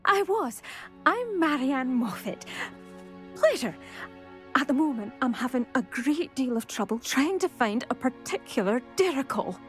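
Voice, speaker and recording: Vain, breezy high-voiced villager. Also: female voice, very animated, measured pace, faint music behind. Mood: polite, breezy. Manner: breezy, self-satisfied, polite. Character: vain, chatty fashion-minded woman coveting a rare bird. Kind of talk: video game character dialogue.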